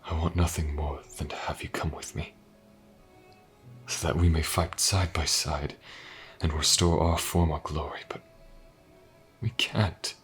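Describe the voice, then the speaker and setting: A man playing a sorrowful, duty-bound immortal mage in a fantasy audio roleplay, solemn, measured and tender, in a breathy, half-whispered voice. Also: very deep voice, fairly even intonation, fairly bright tone. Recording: music behind the voice.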